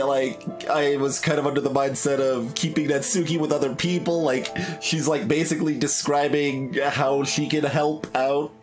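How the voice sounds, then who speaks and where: Slow-paced man musing over game music. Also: a little high. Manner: hesitant, thinking aloud, analytical. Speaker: video game streamer. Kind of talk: casual game commentary, reading text aloud.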